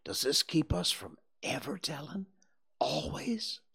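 A man playing a high-voiced, scared ragged country boy. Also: a little slow, gravelly, exaggerated voice. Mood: anxious, pleading. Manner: rustic folksy dialect, stammering pleas.

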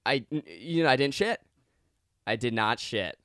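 A young man chatting casually as a podcast guest, joking and animated, breaking into laughter. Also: medium pitch, moderate pace, dry recording, studio quality. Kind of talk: casual chat.

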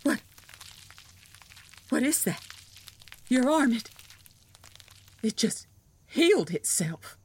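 A woman's voice: a little high, big pitch swings, slow, long pauses, a little mellow, husky, full character voice. An actress playing an embittered, defiant rural farm woman in an audio drama, with folksy, scornful speech. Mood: shocked scorn.

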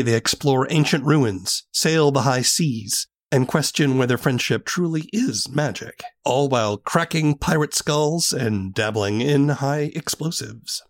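Male host for an arts interview programme, middle register, scripted presenting style. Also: mid-range voice. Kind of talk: scripted introduction and interview questions.

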